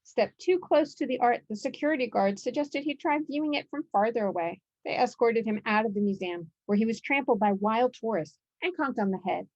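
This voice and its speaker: A woman's voice: fairly high pitch, animated, fairly quick, few pauses, a little mellow, muffled recording. A woman narrating a children's picture book aloud as its author.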